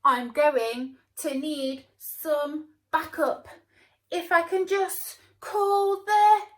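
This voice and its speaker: A woman's voice: sits high, fairly even intonation, very slow, reverberant, pitched above the performer's own voice. Woman as a bold, confident potato superhero. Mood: urgent. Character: confident heroic potato superhero, cool under pressure. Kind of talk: children's storybook read-aloud.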